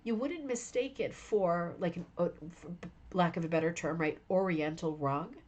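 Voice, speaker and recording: A woman's voice: fairly low pitch, moderate pace, darkish tone. Tired woman gushing over hooked rugs. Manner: enthusiastic, rambling, addresses viewers by name. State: tired, losing her voice. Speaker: rug hooking club host. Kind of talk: live stream show-and-tell chat.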